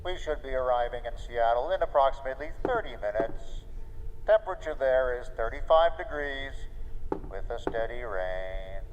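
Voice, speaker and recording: A man's voice: mid-range voice, expressive, normal speed, close to an everyday voice. A man acting as a formal, dryly gloomy train announcer in a live radio play. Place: large hall, live stage show. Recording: dull sound, hall reverb, faint noise.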